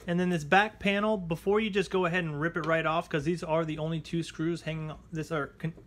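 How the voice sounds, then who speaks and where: Man, slightly high-pitched, informal tutorial host, chatty step-by-step delivery, faintly noisy home recording. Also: steady tone, brisk, a bit bright. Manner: informal, chatty, addresses viewers as guys. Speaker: how-to channel host. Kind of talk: casual repair tutorial, talking through steps. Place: indoors at a table at home.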